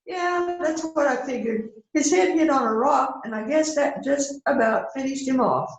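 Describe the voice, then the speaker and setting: High-voiced, glib female murder suspect, acted by a woman. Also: moderate pace. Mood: glib, defensive. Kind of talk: amateur readers theater mystery play. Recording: echoey room sound.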